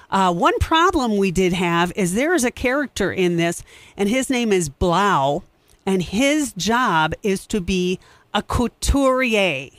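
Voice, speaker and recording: A woman's voice: medium pitch, dry recording. A woman hosting as a theatre director, giving a casual spoken introduction to a show. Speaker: host, theatre company director.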